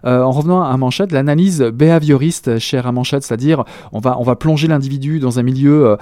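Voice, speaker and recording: A man's voice: medium pitch, fairly quick, mellow, dry recording, studio quality. A man co-hosting a radio book show, reviewing crime novels in casual chat, hesitant with many fillers and restarts, joking about his own pronunciation.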